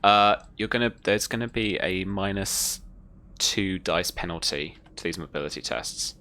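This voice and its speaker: A game master narrating a tabletop roleplaying session in a casual, improvised, halting way. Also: deep voice, bright, forceful tone.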